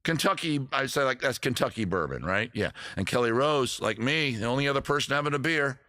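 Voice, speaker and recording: A man's voice: medium pitch, animated, fairly quick, pushed and bright, no echo. A man hosting a live music stream, chatting casually with viewers between songs in a rambling, joking way and poking fun at his own singing. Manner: self-deprecating about his own singing. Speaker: livestream host and musician. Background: his own acoustic guitar playing.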